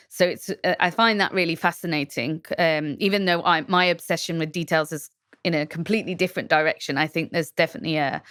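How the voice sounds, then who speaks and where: Low-pitched woman with a smooth clear voice. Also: fairly bright tone, no echo, studio quality. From England, Shropshire. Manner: reflective, thoughtful, many fillers and restarts. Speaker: designer guest. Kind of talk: podcast interview answers.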